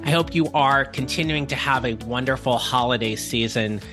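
A middle-aged man hosting a career-advice podcast, his voice raspy from illness, speaking in an upbeat, encouraging, conversational way. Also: mid-range voice, normal speed, over music. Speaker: podcast host.

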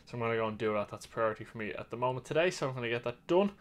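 A man's voice: mid-range voice, racing. Irish guy at his desk webcam, laid back, rambles a bit, medium voice. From Ireland. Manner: relaxed, rambling, self-correcting. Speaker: vlog co-host. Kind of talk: casual vlog update. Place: small home office indoors.

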